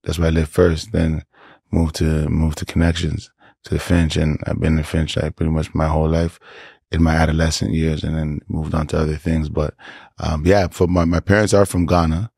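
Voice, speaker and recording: Deep-voiced laid-back Toronto man. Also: moderate pace, creaky, slightly rough, no echo, clean studio sound. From Canada. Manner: laid-back, animated storytelling with slang asides. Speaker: podcast guest. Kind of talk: casual interview chat.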